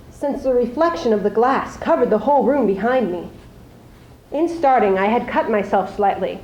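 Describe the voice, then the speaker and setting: A teenage girl, a high school student, gives a deliberate, suspenseful dramatic reading of prose. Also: darkish tone. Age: teens. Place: live school showcase before an audience. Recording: echoey live room recording.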